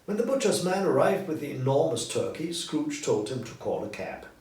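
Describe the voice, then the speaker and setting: A man reading a story as narrator, in an expressive, deliberate scripted style. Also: fairly high pitch. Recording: roomy echo, distant mic, faint noise.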